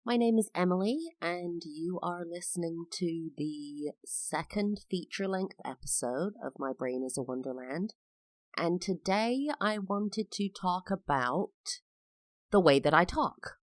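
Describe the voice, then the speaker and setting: A woman's voice: on the low side, animated, measured pace, dry recording. A woman from England hosting a solo podcast, talking in a personal, explanatory way with frequent fillers. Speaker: podcast host.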